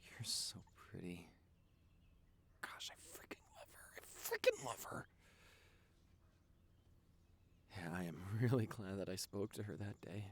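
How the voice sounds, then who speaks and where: A man's voice: on the low side, moderate pace, creaky, breathy edge. A man playing a doting, teasing young boyfriend in an intimate audio roleplay, rambling affectionately and stammering a little. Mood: tender, hushed.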